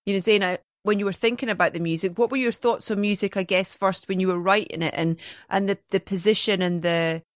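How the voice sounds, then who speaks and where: A woman's voice: mid-range voice, steady tone, fairly quick, no echo, studio quality. A Scottish woman hosting a podcast interview, asking long, rambling, enthusiastic questions. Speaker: podcast host.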